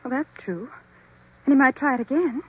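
Earnest worried young woman, high pitch, very expressive, radio drama, tinny old recording. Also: brisk, slightly rough. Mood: uneasy. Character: earnest, caring, anxious young niece. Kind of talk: 1940s radio mystery acting.

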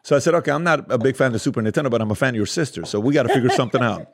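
A man's voice: mid-range voice, expressive, very fast. A man in his thirties, originally from Iran, with Armenian as his first language, telling casual stories as a podcast guest with laughter and quoted dialogue. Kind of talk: casual storytelling interview.

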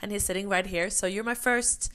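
A woman's voice: medium pitch, steady tone, fairly quick, a bit bright. A woman hosting a podcast and interviewing her guest in an encouraging, casual way. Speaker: podcast host.

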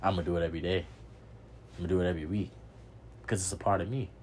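A man's voice: low voice, steady tone, very fast, a little mellow, a little hiss or hum. A young man hosting a podcast, giving a preachy motivational monologue, repeating himself and swearing casually. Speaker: podcast host.

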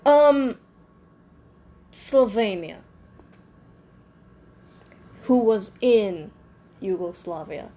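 A woman's voice: medium pitch, animated, drawn-out words, pauses often, warm tone. A woman voicing the country Slovenia as an exasperated young woman who keeps insisting she exists, in a fan comedy podcast. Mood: insistent. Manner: insistent, repeating herself, frustrated.

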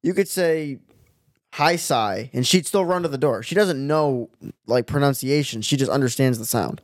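A young man philosophizing in casual podcast chat. He has a middle-pitched voice, recorded dry and close to the mic, and lays out his reasoning step by step. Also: moderate pace, no echo. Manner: explanatory, philosophizing. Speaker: podcast co-host.

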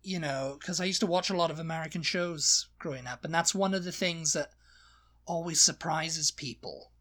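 A man's voice: on the high side, normal speed, sharp tone. A man from Britain with a light, watered-down British accent, co-hosting a casual podcast chat, rambling and joking and slipping into other accents. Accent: light British with Welsh touches. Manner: rambling, jokey, puts on accents mid-story. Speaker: podcast co-host.

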